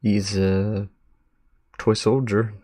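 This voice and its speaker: A man's voice: lowish voice, level tone, drawn-out words, a little mellow. A man doing a casual live reaction, musing informally and chuckling as he reads and comments. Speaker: streamer reacting to a horror web series.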